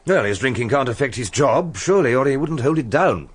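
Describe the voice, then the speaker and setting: An actor playing a wealthy, shrewd English patron of music in a radio drama, genteel and authoritative with a brisk, probing manner. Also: male voice, mid-range voice, expressive, husky. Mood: inquisitive.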